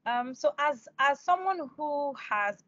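A woman's voice: high voice, expressive, takes it slowly, sharp tone, dry recording, highs cut off. A young woman hosting an interview podcast over a video call. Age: young adult. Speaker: podcast host. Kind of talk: scripted introduction and interview questions.

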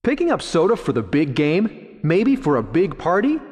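An actor playing a chirpy, bumbling supermarket announcer in a video game, delivering salesy promotional patter. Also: male voice, a little high, huge pitch swings, moderate pace, a little mellow. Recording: reverberant store announcement echo.

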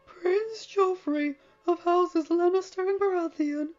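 A woman's voice: very high voice, takes it slowly, darkish tone, voice pushed up. A woman voicing a wheezy, formal old man who serves as grand maester, recorded dull and lo-fi over music.

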